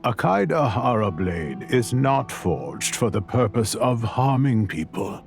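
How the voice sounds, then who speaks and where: Gravelly, slow-spoken old grandfather. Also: medium pitch, sing-song, soft background music, exaggerated voice. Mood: solemn. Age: older. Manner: measured, solemn, reflective. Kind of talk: dramatic video game voice acting.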